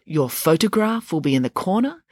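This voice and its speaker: A woman voicing a calm, deferential male security guard in a storybook, speaking in a measured, courteous way. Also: low voice, dry recording.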